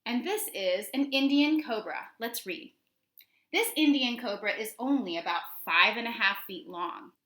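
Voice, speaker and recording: A woman teacher reading children's nonfiction aloud, addressing young listeners directly with deliberate, instructional phrasing. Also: fairly high pitch, a bit bright, reverberant.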